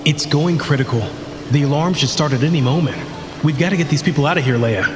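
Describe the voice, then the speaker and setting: A man's voice: a little high, fast talker, close to an everyday voice. A man acting an urgent, bitter, confrontational colleague in a sci-fi audio drama. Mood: urgent, angry. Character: bitter, accusing male colleague in a sci-fi disaster. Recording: long echoing reverb under music.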